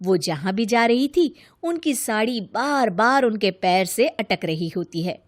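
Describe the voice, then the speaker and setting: A woman's voice: mid-range voice, expressive, measured pace, no room sound, studio quality. A woman narrator reading a scripted story.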